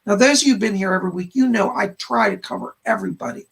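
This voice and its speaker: Hoarse, raspy, gushing male psychic host. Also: sits high, fast, fairly bright tone. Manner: effusive, gushing greetings with frequent squeals of delight. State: hoarse, tired voice. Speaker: psychic medium hosting a live stream. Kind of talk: casual livestream chat, greeting viewers. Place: home studio room.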